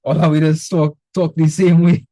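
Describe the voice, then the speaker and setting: A man with a Trinidadian accent tutoring a student in math over a video call, relaxed and chatty. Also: on the high side, moderate pace, dry recording. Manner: relaxed, chatty, repeats words when starting. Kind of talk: casual online tutoring session.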